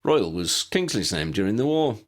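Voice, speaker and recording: A man's voice: lowish voice, sing-song, normal speed, voice pushed up. A man voicing a sceptical, practical young wizard. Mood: matter-of-fact.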